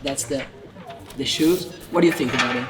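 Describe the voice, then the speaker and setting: A man conducting an informal sports interview in halting, repetitive English. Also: mid-range voice. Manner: halting, repetitive phrasing in non-native English. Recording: music playing behind the voice. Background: background music.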